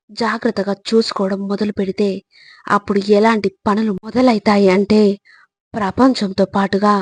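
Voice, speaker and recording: A woman narrating scripted motivational lines in measured, emphatic phrases with deliberate pauses. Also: medium pitch, darkish tone, breathy edge, no echo.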